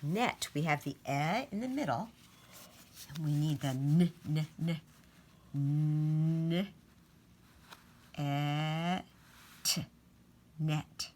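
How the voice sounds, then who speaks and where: A woman with a low voice speaks as a teacher to young children. She takes her time, carefully separating sounds and words as in a storybook and phonics session. There is some noise behind her. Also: a little mellow. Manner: sounds out letters and words deliberately. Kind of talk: storybook read-aloud and phonics lesson.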